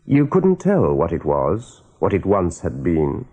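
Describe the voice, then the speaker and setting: A man narrating a radio play as a dramatic storyteller, with a husky voice. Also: low voice, wildly swooping pitch, unhurried, mellow.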